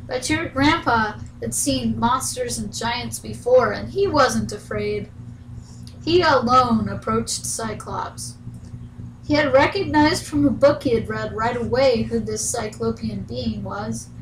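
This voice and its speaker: Casting: female bedtime storyteller, folksy, slightly high voice, faint background noise. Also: slow, poor audio. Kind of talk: bedtime story narration, scripted.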